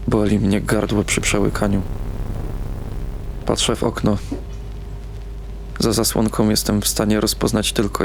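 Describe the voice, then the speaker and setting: A young man playing a troubled, numb young narrator in an audio drama, speaking in short, subdued, halting first-person sentences. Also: fairly low pitch, background noise. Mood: groggy, pained. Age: young adult.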